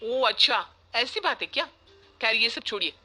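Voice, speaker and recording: A woman's voice: on the high side, expressive, moderate pace, sharp tone, exaggerated voice. A woman voicing a spoiled, demanding young city bride in a story cartoon, whiny and petulant, dropping in English words. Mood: whining. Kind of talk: Hindi moral story cartoon voice acting. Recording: music bed behind the voice.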